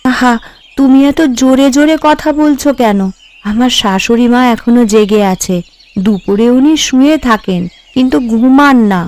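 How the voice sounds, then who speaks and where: High-voiced, earnest young daughter-in-law played by a woman. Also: moderate pace, darkish tone, close to an everyday voice. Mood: anxious, cautioning. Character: young daughter-in-law, deferential, hopeful, secretly enterprising. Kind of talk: Bengali cartoon voice acting.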